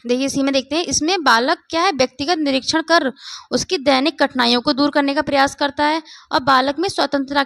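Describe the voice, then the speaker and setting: A woman teacher with a hoarse voice, giving an exam-prep lecture and often checking that students follow. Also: high-pitched, a bit bright, dry recording. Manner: repeats points, keeps asking "ठीक है?".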